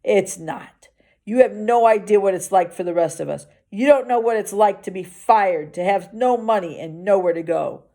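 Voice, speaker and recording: A woman's voice: mid-range voice, normal speed, warm tone, natural voice. A woman voicing a drunk, bitter and aggressive male security guard in a children's novel reading. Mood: bitter, angry. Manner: slurred, hollering.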